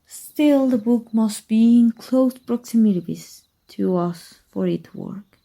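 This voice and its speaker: A woman playing a husky, secretive dark magic teacher. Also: a little high, low-quality recording. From Mexico. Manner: soft, measured, drops to whispers. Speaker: voice actor. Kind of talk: ASMR roleplay.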